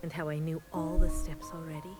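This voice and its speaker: An actress voicing a bitter, secretly rebellious clone sister in a measured, confessional game monologue, sometimes breathy. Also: female voice, low-pitched, level tone, music in the background, close to an everyday voice, low-quality recording. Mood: quiet resolve.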